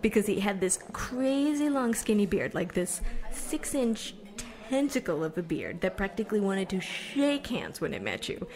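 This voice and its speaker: A woman's voice: medium pitch, sing-song, normal speed, a little mellow, faint music behind. A woman playing a chatty, proud young repair worker telling a rambling, conversational story in an audio drama monologue. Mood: chatty, wry.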